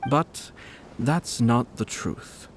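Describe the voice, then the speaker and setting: A man voicing a courteous, composed young nobleman with measured, formal, slyly playful speech in a video game. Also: medium pitch, soft background music. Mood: serious.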